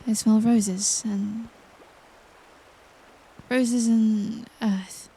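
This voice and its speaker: A woman acting in an audio drama as an earnest young princess in training, speaking with hesitant wonder and deliberate phrasing. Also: on the high side, steady tone, dark tone, breathy edge, exaggerated voice. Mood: wondering, focused. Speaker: voice actor.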